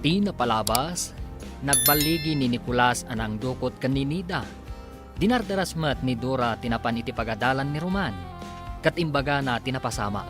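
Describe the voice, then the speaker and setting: A man narrates a radio serial with dramatic, animated intonation. His voice is mid-pitched, over prominent guitar music. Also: normal speed.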